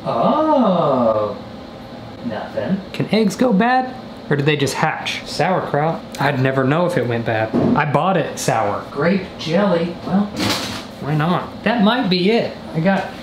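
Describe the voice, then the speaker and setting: A man in his twenties chatting through a comedic cooking vlog with deadpan, joking asides, recorded on a camera mic in a kitchen. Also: a little high, slow pace. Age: 20s. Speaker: vlogger. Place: indoors, small apartment kitchen. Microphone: camera mic at a short distance. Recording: roomy kitchen echo, background noise.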